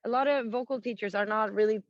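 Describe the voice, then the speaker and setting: Rock singer lady, raspy and hoarse, frank, laughs a lot, kinda dull dry recording. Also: a little high, fast, no echo. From Sweden. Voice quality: naturally raspy voice. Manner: frank, laughs often, many fillers and restarts. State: extra hoarse after touring. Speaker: rock singer, interview guest. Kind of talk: casual interview chat.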